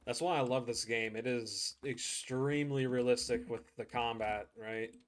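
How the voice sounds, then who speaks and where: A man's voice: medium pitch, steady tone, normal speed. A man streaming a game, chatting casually and thinking aloud as he plays. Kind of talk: live gameplay commentary.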